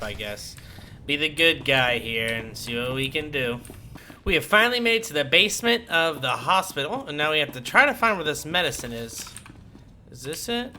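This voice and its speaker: A man's voice: mid-range voice, lively intonation, normal speed, sharp tone, slight background noise. A man giving casual let's-play commentary as he hosts a gaming video.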